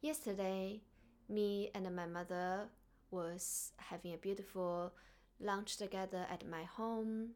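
A woman from China with a Chinese accent, speaking earnestly and softly as the host of a reflective solo podcast. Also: medium pitch, fairly even intonation, slow pace.

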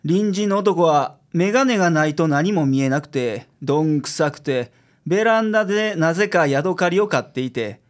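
A man playing a gruff, self-assured private detective with a Kansai accent in a radio drama. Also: a little high, animated, normal speed, lightly acted. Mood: formal, reassuring. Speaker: cast member of a community radio show.

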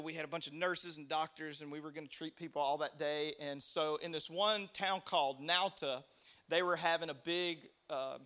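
A man's voice: on the high side, fairly bright tone. A man preaching a sermon, in a conversational way, asking the crowd to respond. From United States. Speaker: pastor.

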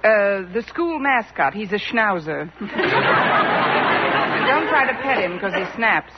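An actress playing a wry, sharp-tongued schoolteacher in a 1940s radio sitcom, delivering deadpan, sarcastic quips. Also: female voice, mid-range voice, takes it slowly, over a noisy crowd. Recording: muffled, noisy 1940s radio, studio audience.

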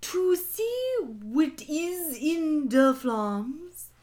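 A woman voicing an old male wizard headmaster with a grandiose, mock-profound, drawn-out delivery in a comic fan fiction reading. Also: high-pitched, big pitch swings, a little mellow, pitched above the performer's own voice, full character voice. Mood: portentous.

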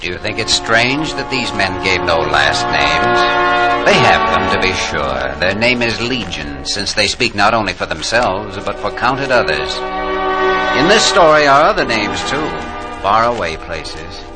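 Deep, solemn old-time radio narrator. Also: male voice, very animated, low-quality recording. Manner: measured, reverent, oratorical. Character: solemn narrator honoring the war dead. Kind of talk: solemn 1940s radio drama narration in blank verse. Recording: vintage 1940s radio, loud music behind.

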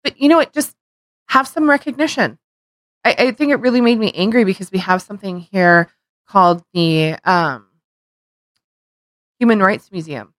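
A woman's voice: mid-range voice, moderate pace, long pauses, dry recording. A middle-aged woman co-hosting a podcast, talking in a heated, rambling way with frequent restarts and swearing. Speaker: podcast co-host. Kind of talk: casual opinion chat.

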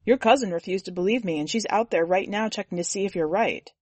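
A woman voicing a gentle middle-aged male boatman, with a quiet, low-key, calm way of speaking. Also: quick pace, dry recording, natural voice. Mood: sheepish.

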